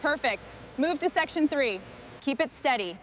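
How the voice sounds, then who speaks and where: A woman leading a restoration crew, giving calm, clipped instructions in scripted workshop dialogue. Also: high-pitched, normal speed, slight background noise.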